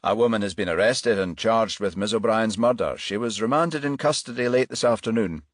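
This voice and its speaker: A man voicing a businesslike senior police chief inspector with a measured, official manner in an audiobook. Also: on the low side, no echo, clean studio sound, natural voice. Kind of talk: audiobook character voice.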